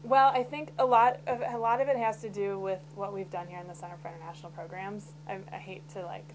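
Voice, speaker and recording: A woman's voice: mid-range voice, steady tone, fast talker, noisy background. A woman who works at a university's international programs office, talking in an interview in a chatty, casual way with fillers.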